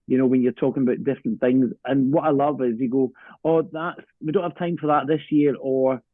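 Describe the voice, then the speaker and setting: Guy chatting really fast and keen, thin phone-like sound, no room echo. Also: mid-range voice, steady tone. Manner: enthusiastic, chatty. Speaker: pantomime director and writer, podcast guest. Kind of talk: casual interview chat. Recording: thin phone-like remote line.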